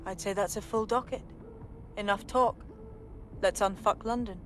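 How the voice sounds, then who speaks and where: An actress playing a resolute female resistance leader rallying a team in a video game, brisk and commanding. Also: medium pitch, steady tone, a little hiss or hum, natural voice. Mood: rousing, resolute. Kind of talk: video game cutscene acting.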